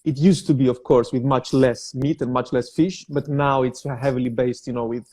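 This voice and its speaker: A man's voice: medium pitch, fairly even intonation, normal speed, a little mellow. A young man who grew up in Cyprus with Greek as his first language, a personal trainer speaking as an interview guest, hesitant with many fillers. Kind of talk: casual interview.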